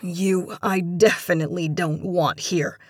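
A woman voicing an angry, bitter and dismissive jilted woman in an audiobook story, with a sharp, biting delivery. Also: lowish voice, takes it slowly, no echo, studio quality.

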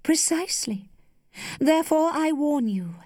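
A woman voicing a worldly, flirtatious lady who teases with cool indulgence in a measured, studied way, as in a storybook. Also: high voice, expressive, a little mellow, no echo, studio quality, voice pushed up. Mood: tender, warning. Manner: studied, measured, archly playful.

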